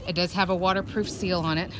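A woman's voice: steady tone, fast, background noise. A woman with children chatting casually while filming her chickens outdoors on a phone, explaining how things are built. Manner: chatty, explains while calling hens in a high sing-song. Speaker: backyard chicken vlogger. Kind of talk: casual outdoor show-and-tell. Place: backyard chicken run outdoors. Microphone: handheld phone camera.

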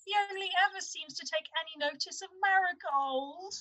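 A woman's voice: very high voice, brisk, bright, forceful tone. A woman playing an earnest, lovelorn young woman in an amateur radio pantomime. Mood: upset.

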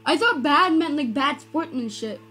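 A young woman chatting excitedly while playing an online game, full of "like" and "dude" and quick reactions. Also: high-pitched, a little hiss or hum. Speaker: gamer. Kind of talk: casual gameplay chat. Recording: game music behind.

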